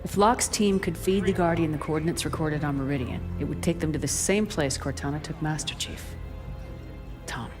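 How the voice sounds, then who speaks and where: Low-pitched, determined female officer , acted by a woman. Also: moderate pace, natural voice. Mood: urgent. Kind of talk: video game cinematic acting. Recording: music behind the voice.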